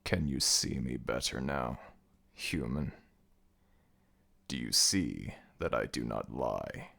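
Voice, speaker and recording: A very deep, gravelly jackal-headed god. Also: male voice, animated, bright, forceful tone, heavily acted. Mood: menacing, curious. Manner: slow, deliberate, formal, commanding speech. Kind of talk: ASMR audio roleplay, dramatic slow acting.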